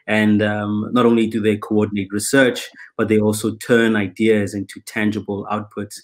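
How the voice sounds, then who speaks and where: Low, flat-voiced man moderating. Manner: measured, with frequent ums. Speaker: panel moderator. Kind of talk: moderating an online panel. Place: indoors, plain room, video call. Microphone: webcam on video call.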